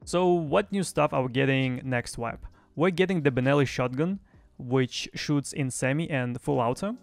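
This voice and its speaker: A man's voice: medium pitch, moderate pace, vocal fry. A young man presenting a gaming news recap in a semi-scripted style. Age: young adult. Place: small home streaming room. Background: faint music.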